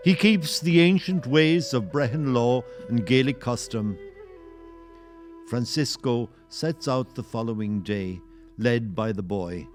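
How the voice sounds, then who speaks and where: A man narrating a historical audio play in a solemn, literary and measured way. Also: mid-range voice, natural voice. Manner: measured, poetic. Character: solemn male storyteller of a historical tale.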